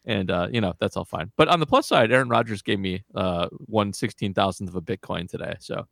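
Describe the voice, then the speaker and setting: Gravelly, low-voiced man, dry and grumbling. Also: a little fast, fairly bright tone, no room sound. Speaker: podcast co-host. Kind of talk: casual sports podcast chat.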